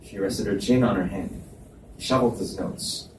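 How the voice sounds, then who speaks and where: A man's voice: on the low side, moderate pace. A man narrating a scripted romance story at a live reading. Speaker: reader, narrator. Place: live reading in an echoey indoor room. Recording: echoey room, distant mic, background noise.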